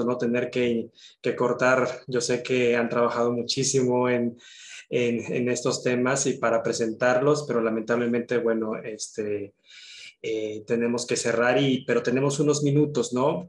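A man's voice: medium pitch, little pitch movement, unhurried. A man in his thirties moderates an online academic session, thanking panelists and inviting questions. He hesitates often, leaning on "eh" and "este". His headset audio sounds roomy, with the room echoing around him. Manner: hesitant, many "eh" and "este" fillers. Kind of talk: conference moderation. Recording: roomy video call audio.

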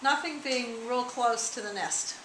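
A woman's voice: a little high, a loud crowd. A middle-aged woman visiting a small farm store, chatting as a curious customer and exclaiming at what she learns. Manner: enthusiastic, quick to exclaim. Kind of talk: casual chat. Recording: echoey room, background noise.

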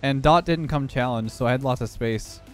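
A young man who has lost some of his voice, hoarse, giving relaxed running commentary over his own gameplay. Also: mid-range voice, moderate pace, soft background music. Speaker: gaming YouTuber.

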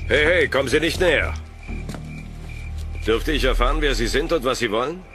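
An actor playing an aggrieved retired cop in a sci-fi audio drama. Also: male voice, mid-range voice, animated, normal speed, pushed and bright, faint music behind. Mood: aggrieved, wary. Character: grumbling retired male cop turned public guard.

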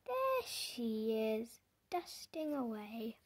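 A storybook reader going through a picture book aloud in simple, deliberate phrases, pausing and putting questions to the listener. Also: mid-range voice, big pitch swings. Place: indoors, book held before the camera.

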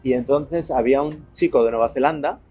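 Middle-aged male, Spain accent, rambling street-side storyteller, mid pitch, faint music behind. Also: a little fast, mellow, breathy edge. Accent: Spanish from Spain. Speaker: guest telling anecdotes. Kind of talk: casual anecdotal chat. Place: outdoors on residential and city streets.

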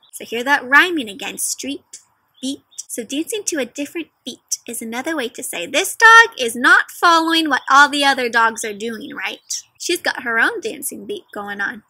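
A young adult woman hosting an interactive picture book read-aloud, questioning young children directly in an animated, teacherly way. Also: sits high, breathy edge, dry recording, low-quality recording. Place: outdoors in a leafy garden.

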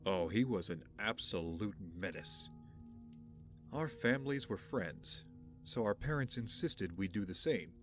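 A man playing an aloof, wry young billionaire in an audio drama, speaking in a dry, measured, haughty way. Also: fairly low pitch, expressive, music in the background. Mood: reflective, wry.

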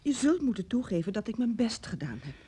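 A wife in a strained marriage for a radio drama. Her voice is very husky and fairly mellow. She probes and reproaches quietly, without much brightness. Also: on the high side, big pitch swings, fairly quick, exaggerated voice. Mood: probing, subdued. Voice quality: husky, breathy. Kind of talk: Dutch radio drama acting.

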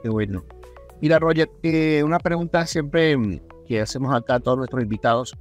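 A man's voice: mid-range voice, fairly quick, soft background music. A middle-aged man, hosting a conversational interview into a close microphone on a video call. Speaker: podcast host and pastor. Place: indoor room on a video call. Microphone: desk microphone close in front.

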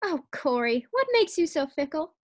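A woman's voice: high-pitched, big pitch swings, moderate pace. A woman acting a scheming, cheerful, scatterbrained housewife in a 1940s-style radio sitcom. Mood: playful.

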